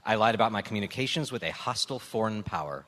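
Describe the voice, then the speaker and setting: A man's voice: lowish voice, expressive, fast talker, a bit bright. A man on a live comedy panel, reading out clues as a guest. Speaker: political editor as panelist. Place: live stage before an audience. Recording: echoey hall.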